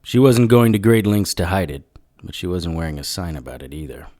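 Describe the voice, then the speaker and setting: A man's voice: low-pitched, lively intonation, a little fast, mellow, no echo, clean studio sound. A man acting a wry, hard-boiled occult detective who narrates and talks in a noir radio drama. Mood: brooding, matter-of-fact. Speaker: narrator and lead character.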